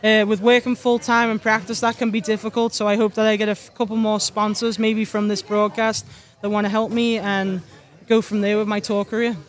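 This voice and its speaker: A woman's voice: mid-range voice, flat delivery, a little fast. A young woman from Liverpool with a Scouse-Irish accent, answering interview questions as a golf pro in a chatty, upbeat way. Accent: Scouse with Irish mix. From Liverpool, England. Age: young adult.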